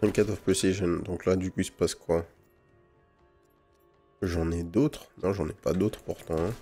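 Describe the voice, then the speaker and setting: A man with a low, mellow voice hosts a gaming video. He comments casually on what he plays, using loose slang and English gamer words. Game music plays behind him. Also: fairly even intonation, a little slow, breathy edge. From French Guiana. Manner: informal slang sprinkled with English gaming terms. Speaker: gaming channel host. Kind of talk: casual gameplay commentary with first impressions.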